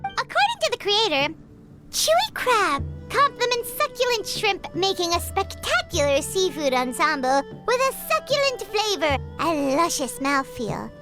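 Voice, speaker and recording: A woman voicing a small, childlike, chirpy food-loving companion in an animated fantasy game. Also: very high voice, measured pace, exaggerated voice. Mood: grandly descriptive. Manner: refers to self in third person, exclamatory. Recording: music under the voice.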